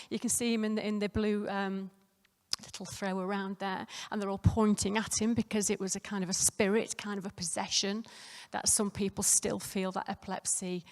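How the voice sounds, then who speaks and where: A woman nurse presents at a conference in a croaky, gravelly voice. She talks conversationally, with plenty of fillers and restarts. Her voice carries a long reverb through a hotel conference room. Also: mid-range voice. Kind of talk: conference talk.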